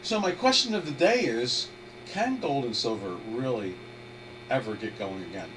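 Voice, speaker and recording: A man's voice: medium pitch, moderate pace. A man fighting a head cold who hosts a live trading webinar, thinking aloud in a rambling way and restarting his sentences. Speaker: trader hosting a live webinar. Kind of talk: casual live market commentary, thinking aloud. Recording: distant mic with steady electrical hum.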